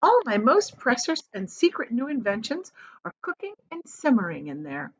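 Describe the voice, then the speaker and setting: A woman playing an eccentric storybook inventor, a man bursting with pride and given to bossy orders. The delivery is emphatic and exclamatory, with big swings in pitch. The audio is slightly dull and lo-fi. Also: wildly swooping pitch, moderate pace, breathy edge, heavily acted. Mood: excited, secretive. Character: excited, proud male chocolate inventor, bossy and eccentric.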